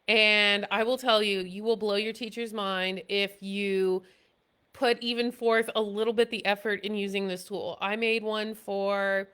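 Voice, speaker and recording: Nasal, bright-voiced woman chatting casually. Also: mid-range voice, clear, resonant tone. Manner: loose and chatty, many "you know" and "um" fillers. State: nasal from allergies. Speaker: military service member presenting school tips. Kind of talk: impromptu live video talk.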